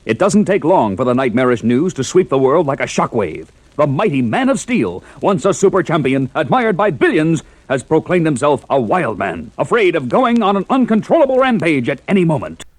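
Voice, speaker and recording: An actor serving as a portentous, emphatic narrator of a scripted superhero audio drama. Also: male voice, a little high, very animated, moderate pace, few pauses, husky, no room sound.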